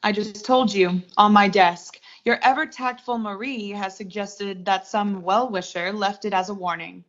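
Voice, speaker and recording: A woman's voice: mid-range voice, fairly even intonation, moderate pace, muffled recording, close to an everyday voice. A young woman playing an ambitious, blunt, easily rattled career woman in a 1940s-style suspense radio play. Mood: sharp, impatient. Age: young adult.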